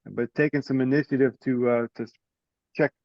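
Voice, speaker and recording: A man's voice: medium pitch, steady tone, moderate pace. A man chairing an informal online meeting over a lo-fi conference connection. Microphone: teleconference connection. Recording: dull, lo-fi conference call audio.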